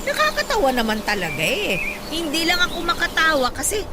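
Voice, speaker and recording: High, swooping, joking Filipina mother. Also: wildly swooping pitch, a little fast, heavy background noise, full character voice. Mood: playful teasing. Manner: playful, effusive. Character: warm, joking, excitable middle-aged Filipina mother. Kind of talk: radio drama acting. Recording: loud music behind the voice.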